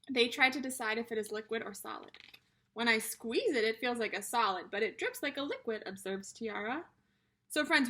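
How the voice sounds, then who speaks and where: Female early-years teacher, high-pitched and slightly husky, animated and emphatic, delivering a children's read-aloud lesson. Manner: instructional, addressing young children with simple questions and repetition.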